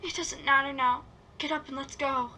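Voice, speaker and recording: A woman's voice: sits high, steady tone, normal speed, bright, forceful tone, exaggerated voice. A woman playing a wounded, guilt-ridden teenage girl in an amateur script read, with emotional, plaintive delivery. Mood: urgent, pleading. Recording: noisy home microphone recording.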